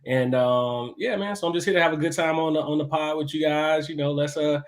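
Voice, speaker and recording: A man's voice: on the high side, steady tone, a little fast. Laid-back man in his forties. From Chicago. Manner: laid-back, talkative, easy laughs. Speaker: guest chef. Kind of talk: casual podcast interview.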